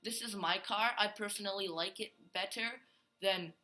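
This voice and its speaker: A man's voice: high voice, steady tone, normal speed, pushed and bright. A male gaming host giving a casual, rambling tour of a Minecraft build, full of fillers and restarts.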